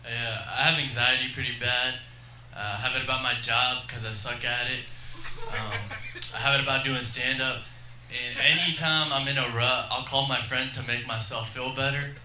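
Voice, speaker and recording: A man's voice: medium pitch, steady tone, normal speed. Male stand-up comic in an echoey club. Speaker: stand-up comedian. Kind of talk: live stand-up comedy. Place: comedy club stage, on a microphone. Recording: noisy, echoey live club recording.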